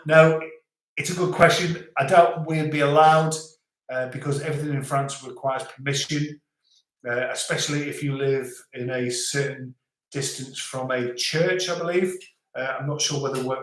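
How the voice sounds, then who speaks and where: A man with a softened Scouse accent hosting a casual live stream, chatting and reading out viewer comments with frequent restarts. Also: medium pitch. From Liverpool, England. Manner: chatty, rambling, stops and restarts. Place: home in the French countryside. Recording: roomy echo.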